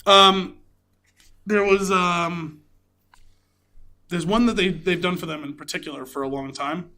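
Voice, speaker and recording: A man co-hosting a podcast in casual chat, explaining details and pausing now and then to find his words. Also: high-pitched, fairly even intonation, bright, forceful tone. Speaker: podcast co-host. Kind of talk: casual chat about craft beer and films.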